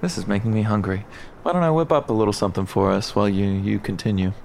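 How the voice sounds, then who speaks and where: A man's voice: fairly low pitch, quick pace, a little mellow. A man playing a wry, suspicious lover on the run in an audio fiction drama. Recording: reverberant, clearly noisy ambient sound scene.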